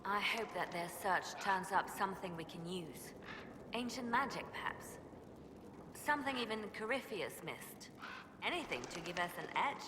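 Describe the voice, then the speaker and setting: An actress playing a poised, guarded advisor in a dramatic game scene, speaking formally and with composure. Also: female voice, medium pitch, expressive, normal speed, noisy background, close to an everyday voice. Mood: guarded, hopeful. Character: poised female spymaster-like advisor, devout and guarded. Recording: echoey hall, clear background ambience.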